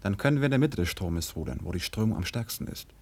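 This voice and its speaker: An actor playing an ardent, brave young lover and warrior in a German radio play, speaking in a solemn, lyrical, declamatory way. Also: male voice, sits low, lively intonation, quick pace, a little mellow. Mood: watchful.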